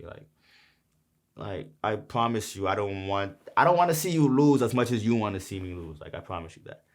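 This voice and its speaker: A young man from Brooklyn with a New York accent, casually talking through the lines of his song in a rambling, slang-heavy way. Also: fairly low pitch. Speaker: rapper explaining his song. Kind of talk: casual lyric breakdown.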